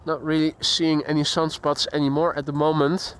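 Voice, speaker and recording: A man's voice: fairly high pitch, little pitch movement, normal speed, fairly bright tone, smooth. A man hosting a casual live stream outdoors, chatting with viewers and greeting them by name. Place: outdoors near an airport. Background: faint outdoor traffic and voices.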